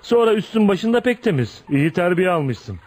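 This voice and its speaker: A man's voice: sits high, very animated, moderate pace, a little mellow. An actor playing a feared, ugly but kind-hearted tough man, blunt and probing, in a Turkish radio play. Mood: hearty, curious. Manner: blunt, probing, folksy, punctuated with "ha?". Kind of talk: Turkish radio theater acting.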